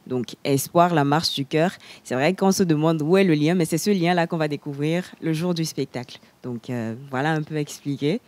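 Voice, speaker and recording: A young woman, a slam poet, explaining her show in a measured way in a TV studio interview. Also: low-pitched, darkish tone. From Togo. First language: Lugba.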